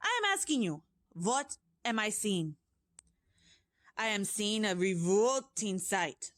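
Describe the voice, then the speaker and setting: A woman's voice: mid-range voice, animated, dry recording, clean studio sound. A woman reading aloud and voicing a furious, tyrannical female witch leader who shrieks her orders and stretches out her words. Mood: shrieking rage. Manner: shrieking, loud, drawn-out words. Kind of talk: audiobook character voice.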